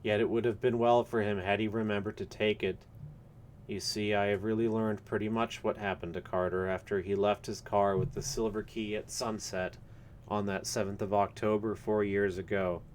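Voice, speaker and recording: A man's voice: lowish voice, flat delivery, moderate pace, a little mellow, a little hiss or hum, close to an everyday voice. A man voicing a courteous, mysterious swami with a formal, oddly labored way of speaking. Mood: calm, knowing. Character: mysterious, courteous Indian swami, patient and knowing.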